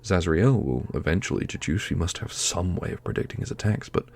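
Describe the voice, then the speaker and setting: A man's voice: low voice, lively intonation, fairly quick, darkish tone. A man playing an earnest, steadfast warrior prince in a fantasy audio roleplay, speaking with serious deliberation. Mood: strategic. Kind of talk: ASMR fantasy roleplay acting.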